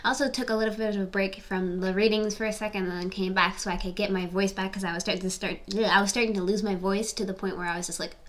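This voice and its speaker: A woman's voice: medium pitch, steady tone, quick pace, few pauses. A woman in her twenties doing a casual, rambling tarot reading to camera, often repeating her questions. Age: 20s. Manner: chatty, rambling, repeats questions while shuffling. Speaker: tarot reader. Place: home, seated in front of camera.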